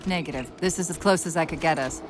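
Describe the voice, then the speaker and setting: A woman playing a quick-witted, wry artificial intelligence companion in a video game, with crisp technical delivery. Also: low-pitched, noisy background, natural voice. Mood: analytical, wry. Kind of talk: video game cutscene acting.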